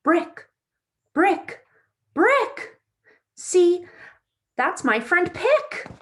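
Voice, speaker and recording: A woman's voice: very high voice, sing-song, extremely slow, a bit bright, voice pushed up, exaggerated voice. A woman voicing an eager, cheerful young stick character from a children's storybook in an exaggerated sing-song way. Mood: excited.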